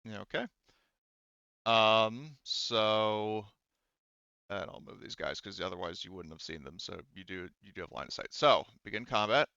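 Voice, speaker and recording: A man with a fairly low, smooth voice runs a tabletop game session as game master. He talks casually and hesitantly, working things out aloud. The sound is dry and slightly dull. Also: animated, normal speed, sharp tone, no room sound. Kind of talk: running an online tabletop roleplaying game, casual.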